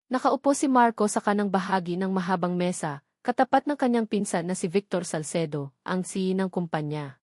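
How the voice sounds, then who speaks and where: A woman's voice: medium pitch, a little mellow, no echo. Woman narrating a dramatic love story, dry sound.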